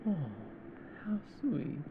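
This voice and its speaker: A man's voice: sits high, very animated, takes it slowly, vocal fry, muffled recording, background noise, exaggerated voice. A man voicing a possessive, mocking yandere captor in an ASMR roleplay, with a sardonic drawl.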